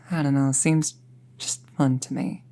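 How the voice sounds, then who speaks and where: A woman playing a friendly, chatty young apprentice witch in an intimate roleplay audio, musing casually in a soft, husky, breathy voice. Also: very deep voice, exaggerated voice. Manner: casual, musing, softly breathy, often near a whisper.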